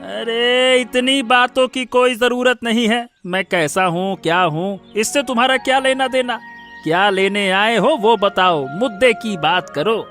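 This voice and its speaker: A cartoon village shopkeeper, acted by a man, greedy and rude. The voice is very high and piercingly bright. He speaks in curt, scornful bursts, always scolding and arguing. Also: a little slow, faint music behind. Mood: scornful, impatient. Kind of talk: Hindi cartoon moral story acting.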